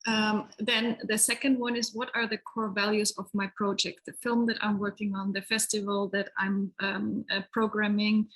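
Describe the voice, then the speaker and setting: A woman facilitating an online workshop over a video call, giving gentle instructions with many hesitations. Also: mid-range voice, flat delivery, moderate pace, hardly any pauses, low-quality recording. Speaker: session facilitator. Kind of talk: guiding a workshop exercise.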